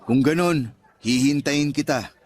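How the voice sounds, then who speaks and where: An actor playing a steady, earnest young suitor in a Filipino radio drama, with a Filipino accent and a consoling manner. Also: male voice, a little high, unhurried, close to an everyday voice. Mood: hopeful, teasing.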